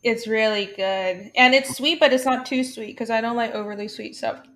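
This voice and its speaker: A young woman from Taiwan chats as a guest on a live stream, her voice sitting a little high. She talks in a bubbly, easygoing way and laughs often between her stories. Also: fairly even intonation, normal speed, fairly bright tone. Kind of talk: casual live-stream chat.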